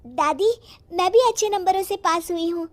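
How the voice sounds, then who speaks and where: Lady doing a little schoolgirl, super high voice, polite n earnest, kids story. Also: normal speed. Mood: eager. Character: earnest young schoolgirl, polite and eager to study.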